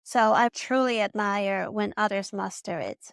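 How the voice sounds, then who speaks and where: Dutch-accented woman, smooth dry voice. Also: mid-range voice, a little slow, dry recording. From Netherlands. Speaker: vlogger. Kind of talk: scripted personal vlog narration.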